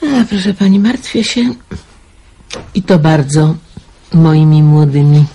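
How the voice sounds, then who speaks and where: A man's voice: fairly high pitch, expressive, slow, mellow, slightly rough, full character voice. A man voicing a worried elderly mother in an amateur radio play, sighing and fretful.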